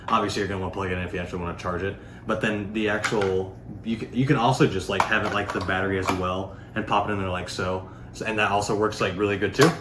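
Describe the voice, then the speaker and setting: A man giving a casual, unscripted product demonstration in a rambling, informal way, peppered with filler words. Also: on the low side. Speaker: product reviewer. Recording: echoey room with faint background noise.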